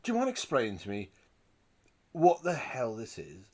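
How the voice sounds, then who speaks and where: A man playing a gruff, excitable magazine boss in an audio drama, emphatic and blunt. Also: mid-range voice, moderate pace. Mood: stern, incredulous.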